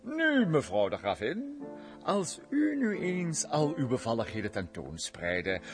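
An actor playing a blustering, flirtatious count in a radio play, gallant and theatrical. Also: male voice, a little high, wildly swooping pitch, a little slow, faint music behind. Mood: eager.

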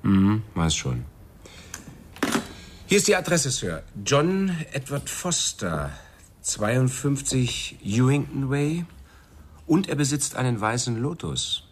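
An actor playing an easygoing but deferential police sergeant in a German radio crime drama, talking in a casual, matey way. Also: male voice, medium pitch, big pitch swings, slow pace, a little hiss or hum. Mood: casual, busy.

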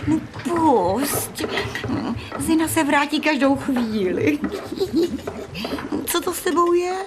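A woman's voice: high voice, expressive, noisy background. A woman playing a hot-blooded, brusque and bustling house cook in a radio play. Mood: flustered, flirty.

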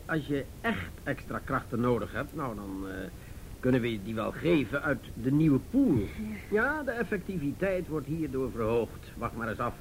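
A man's voice: fairly high pitch, low-quality recording. An actor playing an older, jovial and patronising male police chief in a radio drama, hesitant and avuncular. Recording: radio drama with faint background noise.

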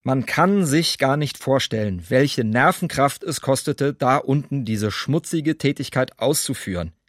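A man's voice: medium pitch, expressive, unhurried, no room sound. A man playing a German perpetrator of wartime shootings, giving sober, self-pitying testimony in a documentary radio drama. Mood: sober, matter-of-fact.

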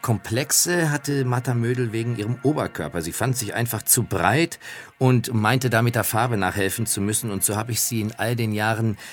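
A man's voice: medium pitch, moderate pace, soft background music. A middle-aged man presenting anecdotal commentary about singers he has known, in a conversational narrating style. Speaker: presenter recalling singers he knew.

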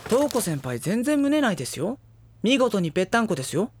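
An actress playing a polite but blunt, easily exasperated teenage schoolboy in a Japanese audio drama, with a boyish, dry delivery. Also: female voice, mid-range voice, big pitch swings, a little fast, husky, no room sound.

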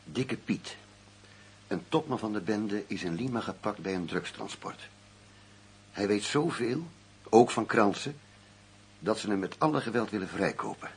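An actor playing a blunt, world-weary middle-aged undercover detective in a radio drama. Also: male voice, lowish voice, animated, a little slow, long pauses. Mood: confident, scheming. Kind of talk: Dutch radio drama acting. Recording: radio play with clear background hum.

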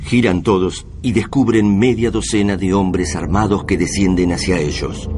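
A man's voice: low-pitched, fairly even intonation, moderate pace, noisy background. A man reading scripted narration for a radio drama.

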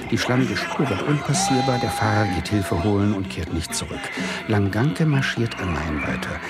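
A man's voice: on the low side, close to an everyday voice. An actor playing a speculator who narrates his own misadventure with dry irony in a radio play. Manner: dry, ironic storytelling. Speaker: first-person narrator.

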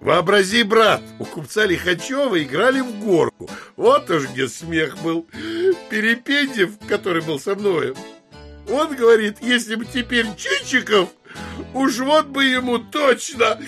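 An actor playing a brash, boastful uninvited guest who rambles familiarly and exclaims theatrically in an audio drama. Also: male voice, high-pitched, expressive, unhurried, bright, forceful tone, soft background music, full character voice. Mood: excited, gossiping. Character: brash boastful adult man, chatty uninvited guest, lying braggart.

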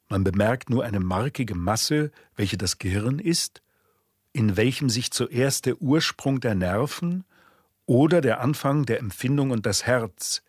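A man in a radio play reciting an old natural-philosophy treatise in a solemn, measured, formal way. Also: mid-range voice, husky, no echo, clean studio sound. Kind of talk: scripted literary reading in a radio drama.

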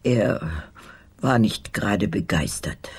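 An older woman playing a loyal, curt old housekeeper in a radio crime drama, terse and guarded with a very husky voice. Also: very deep voice, expressive, slow, heavily acted. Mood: curt, reticent. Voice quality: very husky, breathy.

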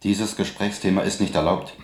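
A male actor plays a cold, officious prison guard in a radio drama. His deep man's voice rings in a roomy, echoing space. He gives orders curtly and formally. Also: steady tone, moderate pace, darkish tone, lightly acted. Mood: cold, commanding.

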